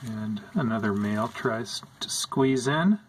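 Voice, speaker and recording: A man calmly narrating over footage of his pet insect tanks, explaining what they do as he films. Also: medium pitch, a little hiss or hum, rough recording. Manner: slow, calm, explanatory. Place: indoors at an insect tank.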